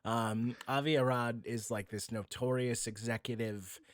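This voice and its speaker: A man's voice: mid-range voice, fairly even intonation, unhurried, no room sound. Wry, joking male podcast host. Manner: sarcastic, hyperbolic banter. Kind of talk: casual joking podcast chat.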